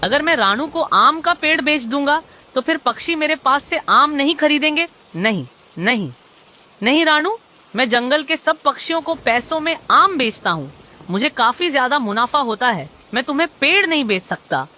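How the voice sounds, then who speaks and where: A greedy cartoon crow, acted by a woman, high and sharp in voice. He bargains bluntly and brushes others off, grumbling over his profit in a children's moral tale. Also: very animated, normal speed, full character voice. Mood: suspicious, calculating. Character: greedy, grumbling male crow who sells mangoes. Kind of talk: animated children's moral story dialogue.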